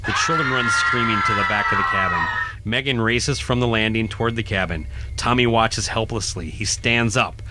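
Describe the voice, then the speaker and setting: A man's voice: on the low side, moderate pace, very noisy. A man reading a horror radio play as narrator, in a suspenseful, theatrical style. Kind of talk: dramatic scripted horror narration. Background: prominent music.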